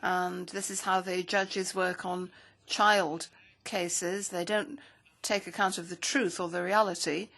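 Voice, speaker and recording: A woman interpreting a speech into English at a parliamentary hearing, with a steady, measured delivery. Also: on the low side.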